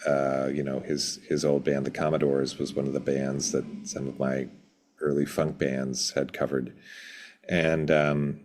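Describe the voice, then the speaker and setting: A middle-aged man reminiscing casually as an interview guest, reflective and halting, often breaking off mid-word. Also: extremely low pitch, flat delivery, a little mellow. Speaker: podcast guest, musician.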